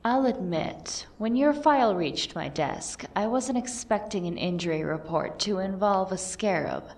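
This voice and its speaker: A woman's voice: medium pitch, expressive, darkish tone, far from the mic. A woman playing a blunt, composed lieutenant in an audio drama, speaking formally and matter-of-factly. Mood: formal, persuasive. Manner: formal, measured, matter-of-fact. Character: blunt, composed female lieutenant recruiting for a program. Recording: off-mic voice under music.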